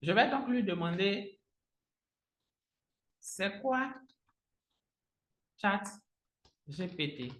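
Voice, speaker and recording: A man whose native language is Agni, teaching a live software tutorial step by step as an online trainer, often repeating his phrases. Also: high-pitched, big pitch swings, drawn-out words, pauses often. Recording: roomy, echoing sound.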